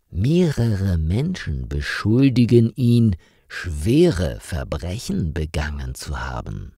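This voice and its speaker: A man reading a scripted story for language learners in a measured, carefully articulated storytelling manner. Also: lowish voice, mellow, no echo, studio quality. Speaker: narrator of stories for language learners.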